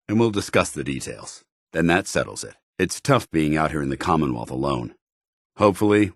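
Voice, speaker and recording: Stern game soldier, very low pitch, smooth dry sound, dutiful, formal, clipped military delivery. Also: male voice, dry recording, heavily acted. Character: stern, dutiful soldier in power armor, paladin of a military order. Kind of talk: video game companion dialogue acting.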